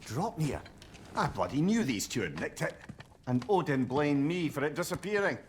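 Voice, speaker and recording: A man's voice: on the high side, sing-song, normal speed, slight background noise. A man playing a shrewd older advisor in a video game, with a Scottish accent and a wry, colourful manner. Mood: wry indignation. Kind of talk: cinematic video game acting. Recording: loud music behind the voice.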